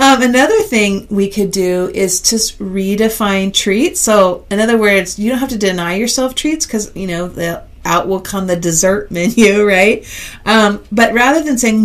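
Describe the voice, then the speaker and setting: A middle-aged woman co-hosting a podcast in relaxed conversation. She agrees readily, sprinkles in fillers, and speaks at an ordinary pitch. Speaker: podcast co-host. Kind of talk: casual chat.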